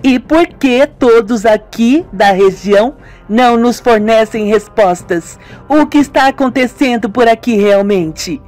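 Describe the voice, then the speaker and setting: A man's voice: very high voice, unhurried, a little mellow, faint music behind, pitched above the performer's own voice. A man voicing an incredulous young woman in a radio soap, who questions insistently. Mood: exigente.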